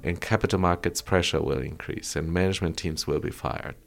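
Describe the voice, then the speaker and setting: Deep gravelly man explaining business. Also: fairly even intonation, a little fast. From Colombia. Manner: explanatory, frequent tag "right?". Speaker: guest, former auto executive. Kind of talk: podcast interview.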